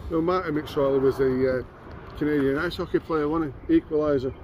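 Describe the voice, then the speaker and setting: A middle-aged man from Glasgow, working as a security guard outdoors, talks in a calm, firm, good-humoured way. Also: a little high, brisk, slight background noise. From Glasgow, Scotland. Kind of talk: casual talk on the spot. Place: outdoors by a gate. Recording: dull lo-fi phone audio.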